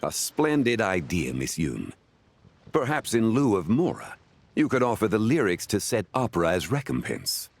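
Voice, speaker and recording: A man voicing a dignified, learned antiquities connoisseur in an animated game, formal and composed. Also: on the low side, slight background noise. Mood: learned, courteous. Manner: formal, measured, erudite.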